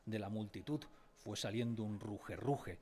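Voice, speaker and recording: An actor playing a grave narrator who recalls a village childhood in solemn, measured, literary radio-drama narration. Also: male voice, fairly low pitch, darkish tone, slight background noise, close to an everyday voice. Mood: grave, sombre. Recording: faint music behind. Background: faint music.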